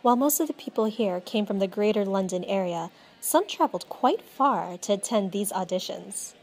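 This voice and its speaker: A young woman student reporter reading a scripted news voice-over clearly and evenly. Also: mid-range voice, lively intonation, moderate pace, noisy background. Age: young adult.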